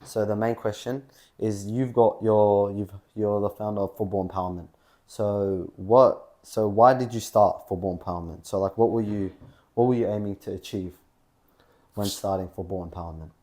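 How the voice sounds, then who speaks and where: A man's voice: fairly low pitch, steady tone, moderate pace, darkish tone. A man interviewing a guest, asking questions hesitantly and restarting them as he goes. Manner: hesitant, repeats his question openings.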